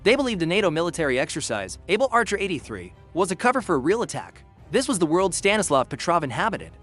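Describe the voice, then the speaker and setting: A man's voice: sits high, very animated, fast, fairly bright tone, soft background music. A man reading scripted history narration in a grave, suspenseful, documentary storytelling manner.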